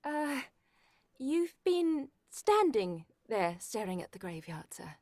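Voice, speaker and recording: A woman's voice: fairly high pitch, sing-song, slow pace, darkish tone, no echo, studio quality, exaggerated voice. A woman playing a fretful, deferential household servant, theatrical, in an old-time radio horror play. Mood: concerned.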